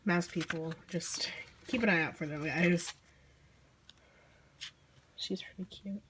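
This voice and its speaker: A teenage girl with a hoarse, croaky voice from being sick, casually and apologetically flipping through her sketchbook on camera. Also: lowish voice, slow, a bit bright, slight background noise. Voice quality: croaky, losing voice. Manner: apologetic, rambling with fillers and small laughs. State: hoarse from illness. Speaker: vlogger. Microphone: handheld camera mic.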